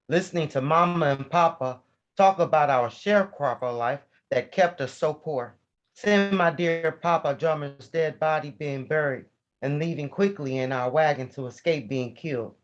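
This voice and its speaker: A man's voice: a little high, close to an everyday voice. A man in a staged play reading, playing a resolute ancestor spirit reflecting on childhood. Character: clear-minded, resolute ancestor spirit recalling a sharecropper childhood. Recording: dull, muffled lo-fi audio.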